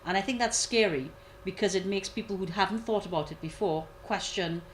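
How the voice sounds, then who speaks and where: A woman commentator giving a measured analysis, repeating key points for emphasis. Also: medium pitch, a little hiss or hum. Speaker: guest commentator. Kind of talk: analytical discussion.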